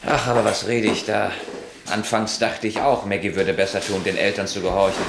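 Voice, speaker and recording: Radio drama: blunt, troubled soldier husband, domineering, lowish, roomy sound with heavy noise. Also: male voice, level tone, a little fast, gravelly. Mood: brooding. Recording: echoey, noisy recording with clicks.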